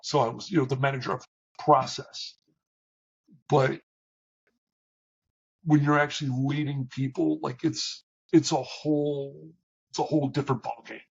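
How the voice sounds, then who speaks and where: A middle-aged man with a big booming voice, talking candidly as an interview guest, with many restarts and fillers. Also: little pitch movement, a little slow, creaky, pauses often, slightly rough, highs cut off. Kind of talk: reflective conversational interview.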